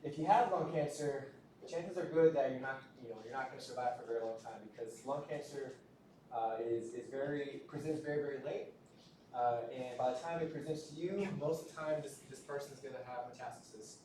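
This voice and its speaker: A man's voice: mid-range voice, a little fast, warm tone. A man giving a casual classroom lecture, often repeating himself and checking in with "right?". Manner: conversational, repeats words, frequent "right?" checks. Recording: roomy, distant lecture-hall recording with faint noise.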